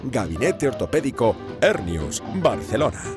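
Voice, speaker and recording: A man's voice: medium pitch, big pitch swings, normal speed, music in the background. A man reading an old-fashioned scripted radio advertisement as its announcer.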